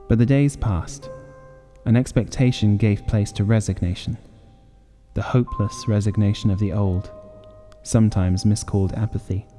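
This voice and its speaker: Male audiobook narrator for gothic horror: mellow, measured, dramatic, long reverb over music. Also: fairly low pitch.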